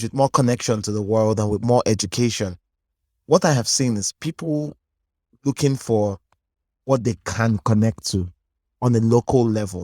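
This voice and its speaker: Articulate host, low voice. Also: male voice, darkish tone, no room sound, clean studio sound. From Nigeria. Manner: articulate, argumentative, builds points in lists. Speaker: podcast host. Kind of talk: thoughtful interview talk.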